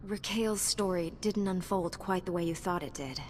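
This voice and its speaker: A woman's voice: on the low side, a little mellow, lightly acted. Woman acting a composed, earnest heroine, echoing. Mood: calm, earnest. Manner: composed, measured, formal. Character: calm, earnest female Jedi knight hero. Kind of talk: video game character dialogue. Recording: reverberant hall with background noise.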